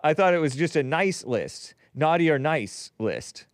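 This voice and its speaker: A man's voice: fairly high pitch, big pitch swings, measured pace, dry recording, clean studio sound. A man hosting a call-in show, laid-back and laughing easily. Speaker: host. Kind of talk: casual call-in talk.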